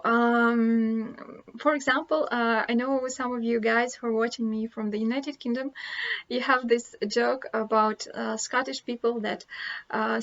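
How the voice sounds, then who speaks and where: A woman's voice: a little high, a bit bright, lo-fi sound. A woman from Russia with a Russian accent, a language teacher chatting casually to camera, hesitant with many fillers and restarts.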